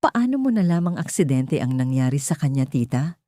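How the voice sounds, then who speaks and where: A woman's voice: low-pitched, very animated, normal speed, dark tone, no room sound, clean studio sound. A woman voicing an intense, grieving rich man and protective father in an audio story. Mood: dangerous anger. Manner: intense, restrained menace.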